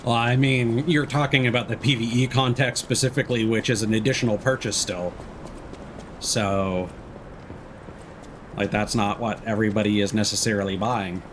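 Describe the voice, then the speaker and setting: A man's voice: mid-range voice, a little hiss or hum. A man chatting casually and thinking aloud as he commentates a video game on a live stream.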